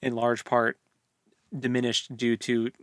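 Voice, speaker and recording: A man with a mid-range voice talks as a podcast co-host in a dry, close-sounding recording. He works through his opinions loosely in relaxed, informal book discussion. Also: medium pitch, slow, no echo.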